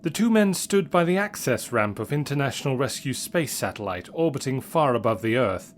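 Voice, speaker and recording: A man reading an adventure story as dramatic, scripted narration, measured and suspenseful. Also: mid-range voice, big pitch swings, a little hiss or hum. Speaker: story reader.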